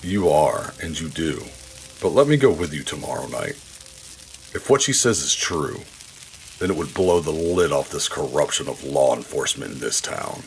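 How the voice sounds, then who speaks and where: A man's voice: very deep voice, expressive, moderate pace, breathy edge, full character voice, low-quality recording. A man playing a troubled, grieving and determined male ally in a noir audio drama, speaking in a somber, earnest way. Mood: earnest, pleading. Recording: music behind the voice. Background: music.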